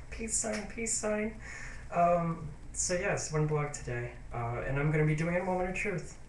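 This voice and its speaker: A man's voice: high-pitched, unhurried, recorded from a distance, slight background noise. A man talking straight to camera in a casual diary vlog. He rambles hesitantly in a sentimental, confiding tone. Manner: rambling, hesitant, full of fillers and restarts. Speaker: YouTube vlogger. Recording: roomy echo.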